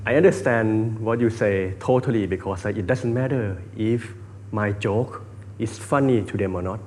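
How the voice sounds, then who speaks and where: A man's voice: on the low side, normal speed, a little mellow, slight background noise. A Thai man with a Thai accent, hosting a casual interview in English as a second language, hesitant with many fillers. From Thailand. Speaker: interview host.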